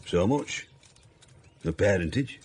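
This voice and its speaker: An actor playing a severe, pious Victorian schoolmaster in a radio drama, speaking in a cold, deliberate, interrogating way. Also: male voice, low-pitched, animated, darkish tone, a little hiss or hum. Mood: cold, probing. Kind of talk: period radio drama acting.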